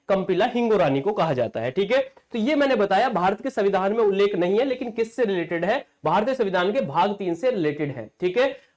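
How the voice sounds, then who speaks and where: A man's voice: high-pitched, darkish tone. Male coaching teacher for exam lectures; explanatory, repetitive delivery built on self-answered questions. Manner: asks rhetorical questions and answers them himself.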